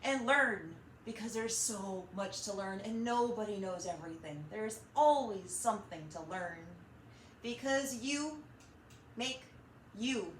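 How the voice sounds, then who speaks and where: A woman hosting a children's storytime, reading and chatting in an animated, encouraging way straight to young listeners. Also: medium pitch, a little slow. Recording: roomy echo, slight background noise.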